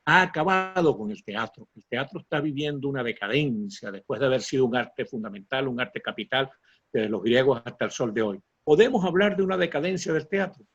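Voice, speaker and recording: A man with a husky voice, hosting a conversational interview with courteous, formal phrasing. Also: mid-range voice, animated, fairly quick. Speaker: interview host. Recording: slightly dull, lo-fi sound.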